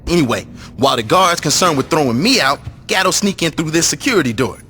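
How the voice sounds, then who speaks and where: Brash, scheming gangster, higher-pitched, lively and profane, game cutscene delivery, faint noise behind. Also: male voice, fast talker. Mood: confident, scheming. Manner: profane, explanatory. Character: brash, scheming male street gang lieutenant.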